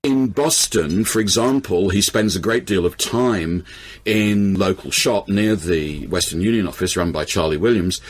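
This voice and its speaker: A man speaking as an expert guest, explaining history in deliberate, articulate and emphatic phrases. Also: low-pitched. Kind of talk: explanatory discussion.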